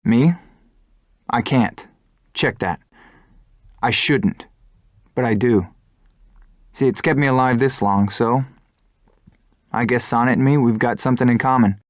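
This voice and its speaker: A man's voice: medium pitch, measured pace, long pauses, no echo, studio quality, close to an everyday voice. An actor playing a brooding, principled young male fighter pilot in an earnest, introspective way for a game cutscene. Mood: reflective. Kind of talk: scripted game cutscene narration and dialogue.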